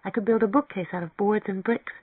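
A narrator voicing an eager, headstrong, impulsive young woman in an audiobook, emphatic and excitable. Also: medium pitch, a little fast, no echo, voice pushed up, natural voice. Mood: dreamy, wistful. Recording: thin, phone-like band-limited audio.

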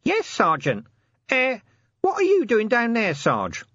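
A cheeky, seedy male watch corporal, acted by a male reader, chatters eagerly in a high pitch. His tune leaps up and down, as in a lively audiobook. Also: takes it slowly, dry recording, lo-fi sound, heavily acted. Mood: obedient. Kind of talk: audiobook character acting.

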